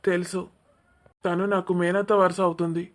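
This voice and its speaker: A woman's voice: on the low side, flat delivery, moderate pace, close to an everyday voice. A woman voicing a polite, tactful young man who placates his elders in a cartoon family drama. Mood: careful.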